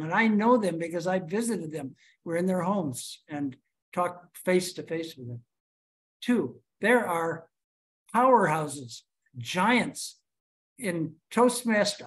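This is a man, speaking slowly and deliberately as he tells his story. He addresses his club over a video call. He builds each point with rhetorical questions. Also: high voice. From Chicago, USA. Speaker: club officer giving a speech. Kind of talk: prepared speech to a club.

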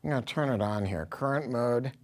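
A man's voice: medium pitch, fairly quick. An American man in his sixties presenting audio gear to camera in a blunt, casual, emphatic way. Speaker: audio dealer presenting products. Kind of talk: casual promotional product talk to camera. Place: small acoustically treated listening room.

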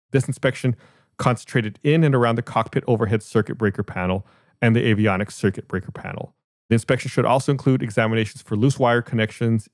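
A man's voice: on the low side, no room sound, clean studio sound. An American man hosting a podcast, reading out and explaining a report in a matter-of-fact way. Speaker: podcast host.

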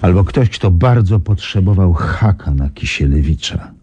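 An actor playing a calm male friend who reasons things through and reassures her. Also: low voice, normal speed, husky, highs cut off. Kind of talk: 1990s radio drama acting.

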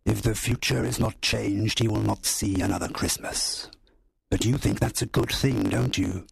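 A man reading aloud and voicing a kind but stern spirit that makes slow, solemn pronouncements in a husky voice. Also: on the low side, no room sound, exaggerated voice, poor audio.

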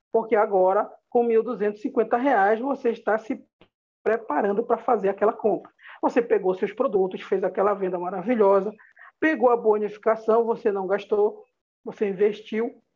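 A Brazilian woman with a slightly high voice walks listeners through a business plan on a video call. She is upbeat and motivational and speaks to them directly. The audio is a bit dull and lo-fi. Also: a little mellow. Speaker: business opportunity presenter. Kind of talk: motivational slideshow presentation over a video call.

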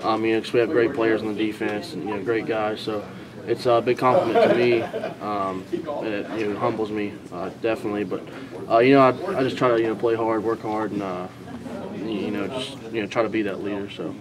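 Young man, halting answers in a noisy scrum. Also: on the low side, fairly even intonation, crowd noise. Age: young adult. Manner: halting, many fillers and restarts. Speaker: college football linebacker. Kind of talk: post-practice media scrum answers. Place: indoor hallway, crowd of reporters. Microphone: reporters' handheld recorders held close.

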